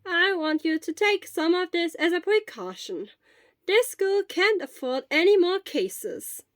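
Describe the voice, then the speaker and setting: A woman voicing a kindly but stern female school nurse in an audiobook, speaking in a measured, firm, explanatory way. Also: very high-pitched, animated, no echo, studio quality, pitched above the performer's own voice. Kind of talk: acted audiobook dialogue.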